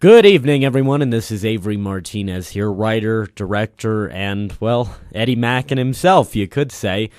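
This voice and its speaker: A man's voice: on the low side, animated, normal speed, few pauses, a little mellow, no room sound, clean studio sound. Earnest man signing off a radio show. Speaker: writer, director and lead actor, signing off.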